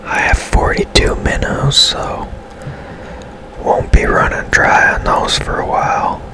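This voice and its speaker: A man speaks in a hushed, breathy whisper throughout. His delivery is slow and unhurried, with long, easy pacing. He gives casual ASMR-style commentary as a gaming streamer.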